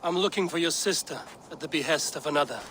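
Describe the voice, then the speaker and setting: A woman playing a steadfast, commanding Viking warrior in a historical game, speaking in measured, blunt, formal phrases. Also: low-pitched, a bit bright, a little hiss or hum. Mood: calm, blunt. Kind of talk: dramatic period game acting.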